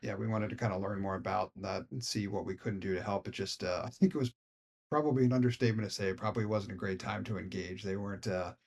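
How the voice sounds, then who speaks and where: A man's voice: fairly low pitch, steady tone, fast talker, creaky, darkish tone. An American man co-hosting a casual discussion podcast, talking in a hedging, meandering, conversational way. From Philadelphia, United States. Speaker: podcast co-host.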